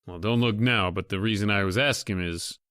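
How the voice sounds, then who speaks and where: A man's voice: lowish voice, fairly quick, fairly bright tone, clean tone, no room sound, clean studio sound, natural voice. A man playing a curt, sardonic, trigger-happy gunman in an old-time radio horror drama. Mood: sly, menacing. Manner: terse, sardonic.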